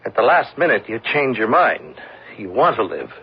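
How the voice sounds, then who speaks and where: Wry, hesitant prodigal swindler, male, husky and animated, old radio drama. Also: fairly low pitch, moderate pace, exaggerated voice. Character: returned swindler, hesitant and wry, facing his debt. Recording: dull lo-fi old radio recording.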